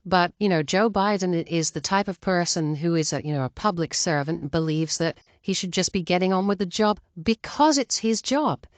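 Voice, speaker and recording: Low-voiced woman giving political commentary. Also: lively intonation, normal speed, few pauses, dry recording. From outside the United States. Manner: earnest, emphatic, partisan. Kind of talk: opinionated political commentary to viewers.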